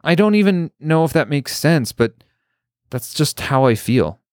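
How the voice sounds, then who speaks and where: A man's voice: big pitch swings, measured pace, darkish tone, dry recording, studio quality. A man doing a flustered, stammering young woman. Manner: flustered, stammering, high-pitched. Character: young woman, nervous and self-conscious.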